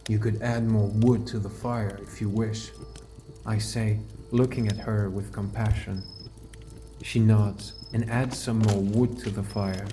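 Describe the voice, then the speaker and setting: Man as a mellow, low fantasy adventurer narrating. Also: flat delivery, a little slow, slight background noise, natural voice. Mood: calm, caring. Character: male fantasy adventurer, calm, caring mentor. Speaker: narrator and lead character. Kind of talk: scripted first-person audiobook narration.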